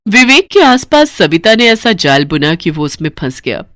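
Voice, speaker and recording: A woman's voice: fairly low pitch, sing-song, moderate pace, fairly bright tone, no echo, studio quality. A woman narrating a story in a dramatic, scripted storytelling style.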